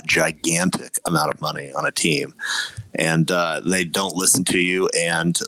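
A man with a low voice that has a bright, forceful edge co-hosts a sports podcast. He chats casually and teasingly, with a dry, close sound. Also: level tone, measured pace, no echo, rough recording. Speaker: podcast co-host.

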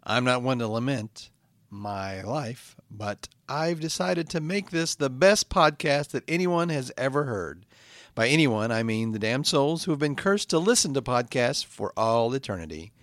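A man plays a damned soul hosting a comedy podcast. His delivery is deadpan, hesitant and exasperated, with a mid-pitched voice recorded close and dry. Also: a little slow, dry recording. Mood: earnest, lofty. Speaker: podcast host.

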